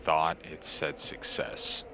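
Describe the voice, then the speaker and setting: A man playing in a tabletop game, checking the rules aloud in an unhurried way. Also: bright, forceful tone, slight background noise, low-quality recording. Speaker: player. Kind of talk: casual tabletop play.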